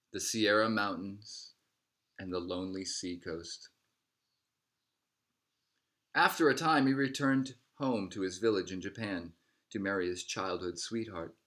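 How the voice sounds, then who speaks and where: Male storybook narrator, lower-pitched, scripted read-aloud delivery, measured phrasing with falling line endings. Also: fairly bright tone. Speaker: storybook reader.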